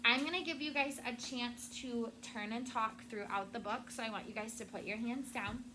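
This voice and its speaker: A woman teacher with a high voice leads a classroom read-aloud for children. She explains things in simple words and often checks that they follow. The recording has clear room noise. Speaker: schoolteacher.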